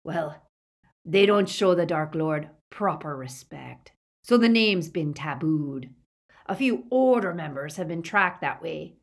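A woman voicing a gloating, savage male werewolf villain in an audiobook, with a menacing, rasping growl. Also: low-pitched, animated, takes it slowly, full character voice. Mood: gloating menace. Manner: menacing, snarling.

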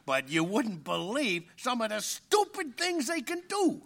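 A man's voice: extremely high pitch, huge pitch swings, a little slow, gravelly, a bit bright, full character voice. A man playing a grumpy, touchy bulldog-pug mix in comic audio theater, blustering and argumentative. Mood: smug.

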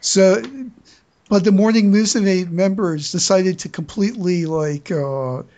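A podcast host talking through fan news casually, earnest and halting, often repeating words while searching for the next phrase. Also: medium pitch, dark tone.

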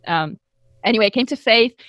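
A woman's voice: on the high side, expressive, a little fast, fairly bright tone, dry recording, highs cut off. French-accented woman, dry close sound. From France. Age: 40s. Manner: earnest, self-deprecating, fillers like um and you know. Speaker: podcast guest. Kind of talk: casual interview chat.

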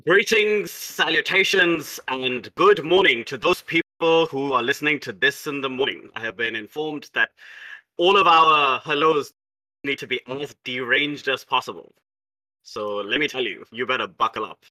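Grandiose, playful player from South India, very bright tone, dry close mic. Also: mid-range voice, moderate pace, no room sound, rough recording. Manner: theatrical, playful, grandiose phrasing. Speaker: game designer and player. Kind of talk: casual podcast chat.

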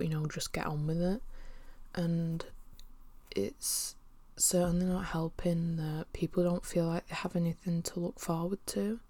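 Sniffly woman, low dry voice. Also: no echo. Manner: candid, rambling, frequent swearing, drifts into soft whispery asides. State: sniffly with a cold. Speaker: vlogger. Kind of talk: casual personal monologue. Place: at home by her desk.

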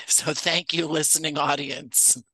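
A man's voice: a little high, fairly even intonation, measured pace, sharp tone, breathy edge, dry recording, low-quality recording. A man speaking as an interview guest, explaining his work in an articulate, conversational and self-deprecating way. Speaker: podcast writer-producer and actor, interview guest.

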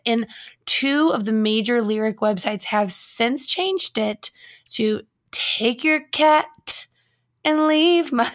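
A woman co-hosting a podcast, telling stories in casual chat with a slightly scratchy voice. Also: a little high. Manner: chatty, laughs often, tells stories. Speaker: podcast co-host.

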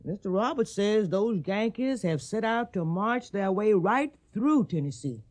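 An actress playing a caring, plainspoken older household mammy with a Southern accent and a folksy, gently scolding manner in a radio drama. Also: female voice, medium pitch, animated, measured pace, lightly acted. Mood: matter-of-fact.

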